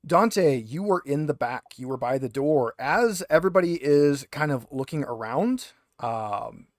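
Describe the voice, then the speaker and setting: A man's voice: mid-range voice, animated, unhurried, no room sound, studio quality. A man speaking casually as the game master of an online tabletop roleplaying game. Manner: casual, conversational.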